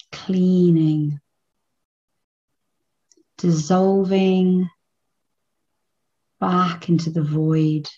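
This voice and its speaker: A woman leading a guided meditation in a soothing, unhurried manner with long pauses between phrases. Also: on the low side, warm tone, highs cut off.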